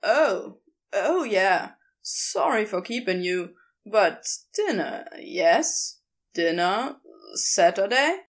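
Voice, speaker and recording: A woman's voice: medium pitch, very animated, extremely slow, full character voice. A woman voicing a laid-back, cheeky man with a casual, easygoing drawl in a story reading. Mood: surprised, eager.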